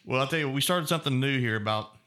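A man's voice: medium pitch, rapid-fire, pushed and bright. A man hosting a podcast, chatty and rambling, who laughs easily. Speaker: podcast host. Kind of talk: casual chat. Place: podcast room.

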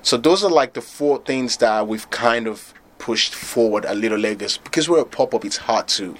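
A man from Nigeria, founder of a pop-up restaurant, talking in a relaxed, loose way as a guest in an interview. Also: medium pitch, moderate pace. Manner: relaxed, loose, filler-heavy talk with laughs. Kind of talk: casual interview chat.

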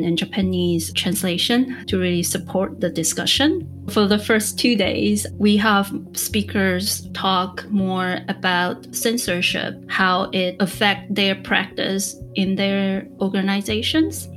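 A woman from Taiwan, a media conservator, giving measured, thoughtful answers as a podcast guest. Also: on the low side. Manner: measured, thoughtful, slow explaining.

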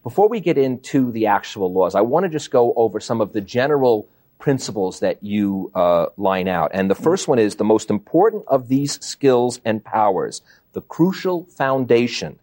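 A man's voice: fairly low pitch, big pitch swings, normal speed, mellow. A man hosting a conversational book interview, explaining things step by step with emphasis. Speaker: interviewer, show host.

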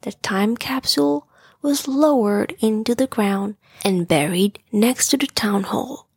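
A woman's voice: mid-range voice, a little slow, darkish tone, breathy edge, no echo, clean studio sound. A woman storyteller narrating a children's bedtime story.

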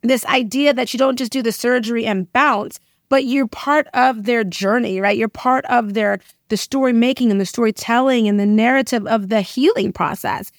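Expressive, high-voiced woman telling stories. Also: normal speed, hardly any pauses, no room sound, studio quality. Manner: expressive, emphatic, often checking in with "right?". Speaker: interview guest, occupational therapist and educator. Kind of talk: animated personal storytelling in a casual interview.

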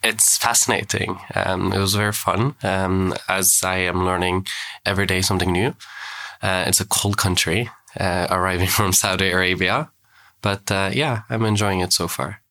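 Man from Norway, very deep, monotone, sharp tone. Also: normal speed, gravelly. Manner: hesitant, filler-heavy. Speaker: seminar participant.

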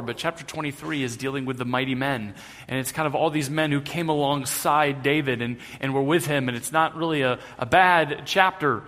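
A man's voice: medium pitch, fairly bright tone. A man preaching a sermon as pastor in a conversational way with light humor, in a church sanctuary, with a long hall reverb on the recording.

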